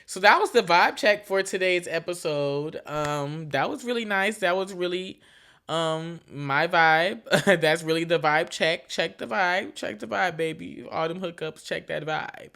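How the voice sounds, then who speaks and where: A woman's voice: lowish voice, unhurried, gravelly, fairly bright tone. Frank, slangy young woman podcasting solo. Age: 20s. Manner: slangy, frank and profane, with frequent "you feel me" asides; loose and rambling, with restarts. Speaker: podcast host. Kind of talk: casual solo podcast chat.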